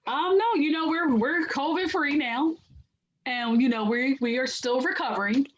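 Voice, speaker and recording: Woman co-host, raspy and nasal, a little hoarse, fairly high voice, slightly dull lo-fi recording. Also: moderate pace, a little hiss or hum. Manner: chatty, many "you know" fillers. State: hoarse, recovering. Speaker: podcast co-host. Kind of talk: casual chat.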